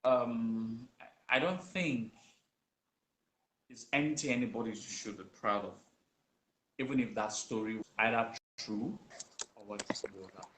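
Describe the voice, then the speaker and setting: A man talks off the cuff on a live stream, picking his way through fillers and false starts. His voice comes through bright and forward. The room around him rings with echo. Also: medium pitch, a little slow, long pauses. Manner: rambling and hesitant, many fillers and restarts. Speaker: host of a live video show on history. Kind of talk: unscripted live-stream talk. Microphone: phone or webcam during a live stream. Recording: echoey room.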